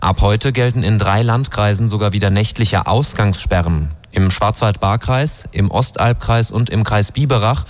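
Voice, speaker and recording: A man's voice: fairly low pitch, moderate pace, few pauses. A man reading a news bulletin on the radio as the station's newsreader.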